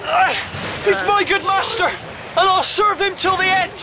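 A loyal, anguished manservant in a period radio play. His voice is very high and his delivery slow, with loud watery noise all around him. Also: gravelly, over a noisy crowd, full character voice. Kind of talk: period radio drama acting.

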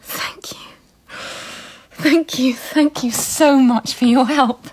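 A woman's voice: high-pitched, steady tone, slow pace. An actress in a radio drama playing an anguished, bitter and volatile Soviet woman in love. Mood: polite, anxious.

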